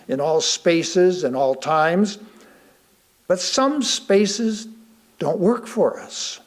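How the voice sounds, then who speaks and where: A man reading a sermon aloud to a congregation, deliberately, pausing between short phrases. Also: high-pitched, darkish tone. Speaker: guest speaker reading a sermon.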